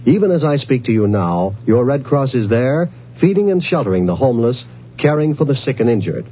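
A man's voice: mid-range voice, sing-song, a little fast, slightly rough. A male announcer reading an earnest, solemn 1950s radio charity appeal with urgent, rhetorical phrasing. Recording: muffled old-time radio recording, faint hum.

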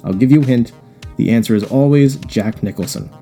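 A man's voice: mid-range voice, a little fast, dark tone, reverberant, soft background music. A man hosting a podcast, chatting casually and joking, often laughing as he rambles. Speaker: podcast host.

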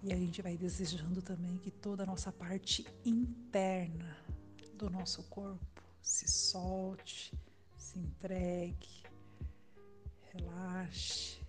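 Slow, soft Brazilian woman guiding meditation. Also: fairly low pitch, level tone. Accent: Brazilian Portuguese. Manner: soft, soothing, with long pauses and breathy phrase endings. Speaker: meditation guide. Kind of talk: guided relaxation meditation. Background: background music.

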